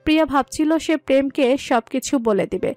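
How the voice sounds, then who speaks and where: High-pitched woman narrating a romantic story. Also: moderate pace, a little mellow, no room sound. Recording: music under the voice.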